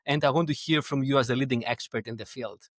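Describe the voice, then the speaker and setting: A man's voice: mid-range voice, fairly even intonation, quick pace, fairly bright tone, dry recording, clean studio sound. Effusive Greek-accented man hosting an interview. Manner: effusive, complimentary. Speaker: podcast host, oncology professor. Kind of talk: conversational interview.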